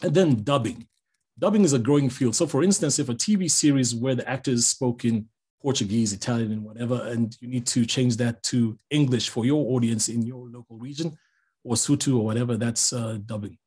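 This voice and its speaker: A middle-aged man from Zambia, a voice-over artist giving an online master class in a relaxed, chatty way with small jokes, heard over a video call. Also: mid-range voice, a little fast, husky.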